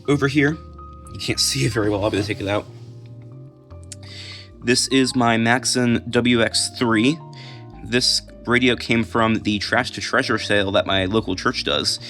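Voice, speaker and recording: An American man giving a casual, unscripted show-and-tell, halting and restarting as he goes. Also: on the low side, fairly bright tone. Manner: halting, unscripted, trailing off mid-thought. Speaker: video creator showing a collection.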